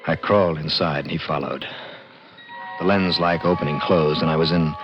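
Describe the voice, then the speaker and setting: A man's voice: very deep voice, steady tone, moderate pace. An actor playing a plain-spoken American garage mechanic in his thirties, narrating an old radio drama in an urgent, folksy way. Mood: wondering, tense. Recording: dull old radio recording with music.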